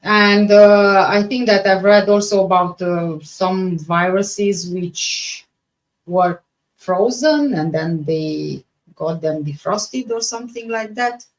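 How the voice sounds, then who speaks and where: A woman from Romania with a Romanian accent chats casually as a livestream host, answering viewers in a halting way that often repeats and rephrases. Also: mid-range voice, low-quality recording.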